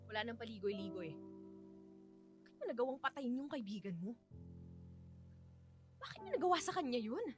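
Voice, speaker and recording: A woman's voice: fairly high pitch, sing-song, normal speed, long pauses. A woman playing a betrayed, enraged widow, accusing and impassioned in melodramatic radio drama style. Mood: cold accusing. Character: betrayed, enraged widow confronting her husband's killer. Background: background music.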